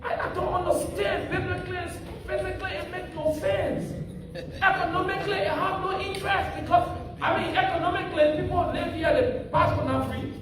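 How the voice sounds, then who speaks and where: Earnest preacher in an echoey hall. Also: male voice, extremely high pitch, fairly even intonation, normal speed, a crowd in the background. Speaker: preacher speaking at a community meeting. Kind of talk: public comment at a meeting. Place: meeting hall. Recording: echoey hall, distant mic, noisy.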